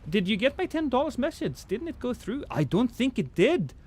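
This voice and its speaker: A man's voice: very high voice, very animated, normal speed, darkish tone. Norwegian man with British-tinged accent. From Norway. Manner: chatty, self-deprecating, many restarts. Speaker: streamer. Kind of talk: casual gameplay livestream chat.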